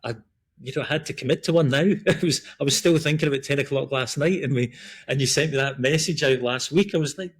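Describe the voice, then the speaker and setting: Scottish man with a husky voice. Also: mid-range voice, fast talker. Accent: Scottish, Glasgow. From Scotland. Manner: rambling, chatty, frequent restarts and ums. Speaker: guest, breath work and running coach. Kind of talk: casual podcast conversation.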